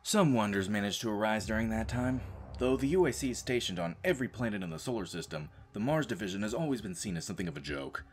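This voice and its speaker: A man acting a world-weary, deadpan space marine reduced to janitor, telling his story as dramatic audio drama narration. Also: lowish voice, fast talker, lightly acted. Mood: dry, explanatory. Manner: sardonic, deadpan, brooding. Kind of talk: dramatic first-person scripted narration.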